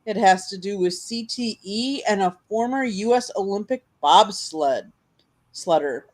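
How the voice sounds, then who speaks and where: An announcer giving a scripted station promo in a steady, informational delivery. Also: medium pitch, measured pace.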